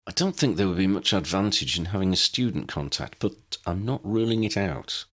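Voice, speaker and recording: A man's voice: low voice, lively intonation, normal speed, fairly bright tone. A man voicing an earnest, decisive male head of wizard police, direct, polite and slightly irritable, in an audiobook story.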